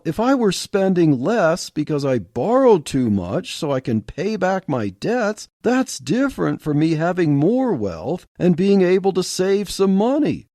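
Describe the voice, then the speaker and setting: A man voicing an excitable, indignant everyday man in an audio story, exclaiming and pushing rising questions. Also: high voice, no room sound, studio quality, pitched above the performer's own voice.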